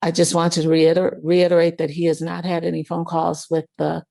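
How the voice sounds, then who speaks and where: A woman speaks as a defense attorney in court. Her voice is fairly low with noticeable vocal fry. She argues haltingly, repeating words, with a dry sound. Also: completely flat delivery, warm tone, no room sound. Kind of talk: courtroom argument.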